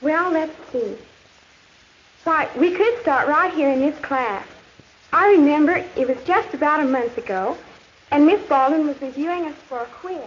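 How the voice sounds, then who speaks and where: A young woman playing an earnest high school girl with an American accent, narrating a 1950s educational film in a conversational way. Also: normal speed, close to an everyday voice. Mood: earnest, confiding. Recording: muffled, noisy 1950s film soundtrack.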